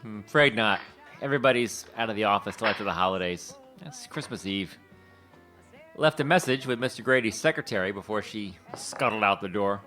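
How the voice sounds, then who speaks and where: An actor playing a folksy, fast-talking male Hollywood talent scout with a salesman's patter in an audio drama. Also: fairly low pitch, sharp tone, soft background music.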